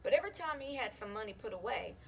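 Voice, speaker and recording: Woman reading a children's story with lively intonation. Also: fairly high pitch, fast, vocal fry, a little hiss or hum. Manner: animated, acting out the children's dialogue. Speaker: school counselor reading a children's book aloud. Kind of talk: expressive storybook read-aloud.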